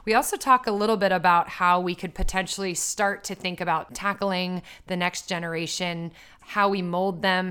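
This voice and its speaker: A woman's voice: lowish voice, fairly bright tone, smooth. A woman hosting a podcast, upbeat and chatty, with plenty of filler words as she introduces and questions a guest. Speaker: podcast host. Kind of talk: casual podcast interview.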